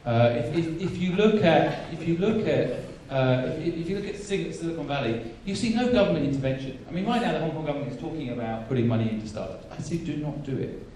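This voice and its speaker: A man's voice: on the high side, expressive, quick pace, hardly any pauses, a little mellow, a little hiss or hum. Blunt man in echoey hall. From England. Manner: blunt, emphatic, restarts often. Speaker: panelist, angel investor. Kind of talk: panel discussion. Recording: echoey hall, distant mic.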